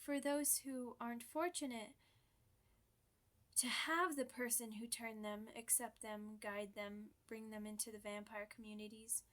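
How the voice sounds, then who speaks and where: Woman voicing a high-pitched, genteel female vampire. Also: fairly even intonation, a little slow, fairly bright tone. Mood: reflective, gentle. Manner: genteel, conversational, slightly formal phrasing. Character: polite, chatty, proud, centuries-old female vampire being interviewed. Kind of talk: ASMR character roleplay.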